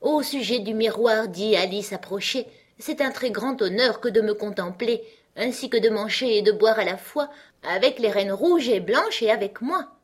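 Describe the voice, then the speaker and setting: A woman voicing a shrill storybook herald, declaiming festive nonsense verse in a singsong manner. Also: lively intonation, normal speed, slightly rough.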